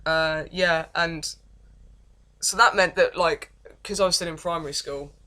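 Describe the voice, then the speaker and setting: A young woman with an English accent and a deep voice, telling her life story in a rambling, self-deprecating vlog with many false starts. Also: fairly even intonation, unhurried, pushed and bright. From England. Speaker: vlogger. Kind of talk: casual confessional vlog, reading from notes.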